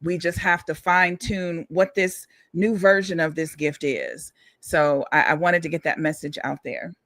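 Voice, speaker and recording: Woman guest chatting, bright forceful voice w a little rasp, real earnest and encouraging. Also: low-pitched, steady tone, measured pace, no echo, studio quality. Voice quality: slightly raspy. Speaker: guest singer and songwriter. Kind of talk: heartfelt conversational interview.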